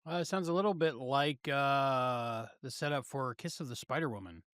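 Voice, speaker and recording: A man's voice: medium pitch, moderate pace, fairly bright tone, clear, resonant tone, no echo, studio quality. A man in casual podcast conversation as co-host, given to playful, self-deprecating banter.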